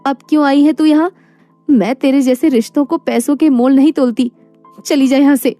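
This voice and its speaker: Woman acting an earnest, high-voiced poor wife. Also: fairly even intonation, normal speed, mellow, dry recording, faint music behind, natural voice. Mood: angry. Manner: earnest, emotional. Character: poor, proud, devoted young wife. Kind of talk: animated moral story dialogue.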